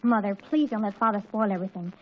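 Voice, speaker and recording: An actress playing an excitable, dramatic teenage daughter, pleading and breathlessly earnest, in 1940s radio comedy style. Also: female voice, mid-range voice, quick pace, natural voice. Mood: anxious pleading. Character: excitable teenage girl, dramatic daughter anxious about her date. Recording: dull old 1940s radio recording.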